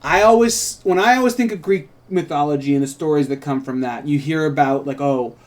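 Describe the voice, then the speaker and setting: Male co-writer and host, casual discussion, rambling, thinking aloud, somewhat high pitch. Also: fairly quick. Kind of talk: casual creative discussion and script narration.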